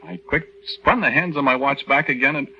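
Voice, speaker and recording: A man's voice: mid-range voice, a little fast, sharp tone, slight background noise. An actor playing an aggrieved young soldier husband who tells his story in a folksy, hesitant, conversational way in a 1940s radio drama. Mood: flustered. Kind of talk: 1940s radio drama first-person narration. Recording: dull old 1940s radio recording.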